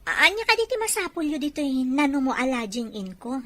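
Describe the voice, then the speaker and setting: A woman's voice: high voice, very animated, brisk, bright, forceful tone, full character voice. A woman with a Filipino Ilocano accent playing a brusque young woman at a lodging inn in an amateur audio drama. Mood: brusque, surprised. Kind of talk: amateur radio drama acting. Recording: clear background noise.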